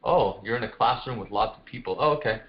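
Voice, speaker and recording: A man with a lowish voice talks as a fitness coach leading a group call. His delivery is informal and encouraging, like casual coaching. The sound is dull and lo-fi, as from a conference call. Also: fast talker. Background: no music, voice on a group phone call.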